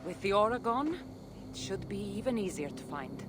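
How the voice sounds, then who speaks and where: A woman's voice: medium pitch, big pitch swings, moderate pace, noisy background, lightly acted. An actress playing a seasoned female artifact hunter in a video game, wry and teasing yet solemn about her duty. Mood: earnest, measured. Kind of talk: video game character dialogue.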